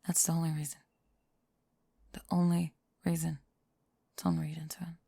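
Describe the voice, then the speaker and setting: Woman playing a breathy, drowsy tomboy, low and close. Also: normal speed, no room sound, exaggerated voice. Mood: drowsy, tender. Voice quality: breathy, often whispered. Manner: grudgingly tender, deadpan. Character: prickly, guarded tomboy friend, secretly affectionate and sleepy. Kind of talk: whispered ASMR roleplay. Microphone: close ASMR microphone.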